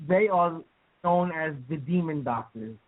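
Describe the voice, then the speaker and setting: Stammering excitable male radio host. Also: on the high side, unhurried, dry recording. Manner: excitable, stammers and repeats words. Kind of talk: casual radio chat. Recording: dry tinny phone-like line.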